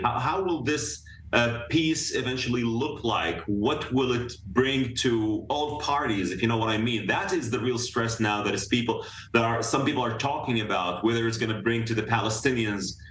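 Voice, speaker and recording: A man joins a live news show as guest analyst over a remote video link, and his voice comes back echoey and distant, with a faint hiss underneath. He talks earnestly and piles up fillers and restarts. When he presses a point he lifts his pitch. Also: fairly bright tone. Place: room in another city.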